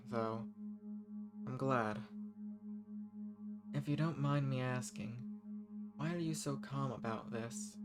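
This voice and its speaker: Loud music surrounds an ancient banished villain played by a man, a living weapon with a mid-pitched voice. He is refined and wistful, dangerous but softly flirtatious. He speaks intimately, with hesitant pauses, as in a scripted roleplay audio drama. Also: lightly acted. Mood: amused, tender.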